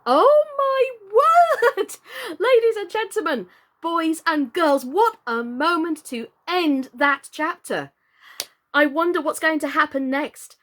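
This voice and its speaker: A woman reading a children's story aloud in a theatrical, expressive way. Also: extremely high pitch, slow pace. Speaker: storyteller, actress. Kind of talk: animated children's story narration.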